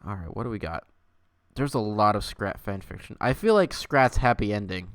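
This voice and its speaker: A man's voice: fairly low pitch, animated, moderate pace, no room sound. Theatrical man with a close, dry sound. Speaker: livestream host. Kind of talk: dramatic fan fiction reading and casual stream chat.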